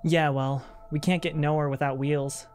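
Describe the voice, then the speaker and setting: A worried young father with a light Southern twang, as a narrator voices him. He sounds strained and apologetic, close to his own reading voice, a touch higher. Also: level tone, normal speed, faint music behind, natural voice.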